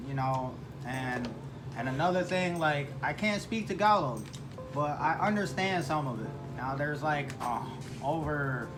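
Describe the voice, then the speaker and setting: A man from Michigan chatting casually on a food vlog, rambling and halting with lots of fillers as he explains dishes. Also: fairly high pitch, noisy background. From Michigan, United States. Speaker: vlog co-host. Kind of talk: casual mukbang chat. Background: background music.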